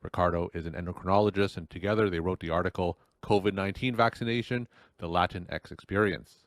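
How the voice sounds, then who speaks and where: A man's voice: low-pitched, steady tone, quick pace, dry recording. A man hosting a podcast, reading a prepared intro and putting questions to guests. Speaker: podcast host. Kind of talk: scripted intro and interview questions.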